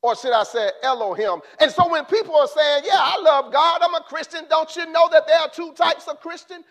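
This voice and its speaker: A man preaching a sermon in an impassioned way, rising to shouts and then dropping low. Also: brisk.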